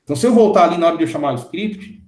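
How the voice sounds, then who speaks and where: Man in his thirties, a programming teacher with a slightly high voice, explains step by step, echoey room sound. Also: animated, very fast, darkish tone. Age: 30s. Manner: explains step by step, often checking in with the class. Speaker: programming instructor. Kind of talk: screen-recorded coding lesson.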